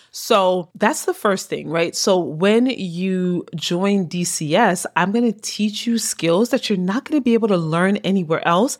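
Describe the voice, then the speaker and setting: A woman hosting her podcast, a coach making an energetic, persuasive pitch, her voice slightly hoarse and tagging lines with "right?". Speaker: podcast host and business coach.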